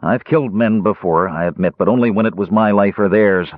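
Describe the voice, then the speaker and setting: A man voicing a big, grim, conscientious warrior in a fantasy audiobook, speaking slowly and sternly. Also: fairly low pitch, dry recording, narrow, tinny audio, natural voice. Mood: grim refusal. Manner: slow, stern, deliberate.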